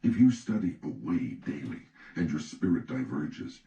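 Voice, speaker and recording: Very deep, gravelly male audiobook narrator. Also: very animated, normal speed, dark tone, muffled recording. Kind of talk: scripted audiobook narration of an old treatise. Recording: roomy, distant mic with faint noise.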